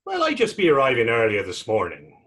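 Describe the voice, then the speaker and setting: A man's voice: medium pitch, wildly swooping pitch, a little fast, a bit bright, husky, exaggerated voice. A man playing a naive, earnest young fellow in readers theater, speaking rambling, guileless old-fashioned dialect. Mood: innocent, evasive. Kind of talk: readers theater stage acting.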